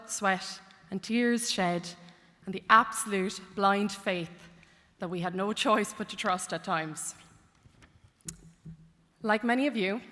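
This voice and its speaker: A young woman with a bright, clear voice delivers a heartfelt graduation address, mixing sincerity with light humour. Her words carry through a lectern microphone, trailing a long reverb across a large concert hall. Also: mid-range voice. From Ireland. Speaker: graduate speaker. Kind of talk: commencement speech.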